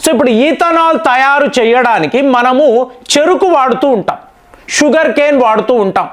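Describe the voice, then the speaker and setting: This is a man with a strikingly high voice for a male speaker. He presents political commentary as an explainer addressed to his viewers. His delivery is emphatic, built on rhetorical questions and repeated key phrases. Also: normal speed. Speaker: political news commentator and channel host.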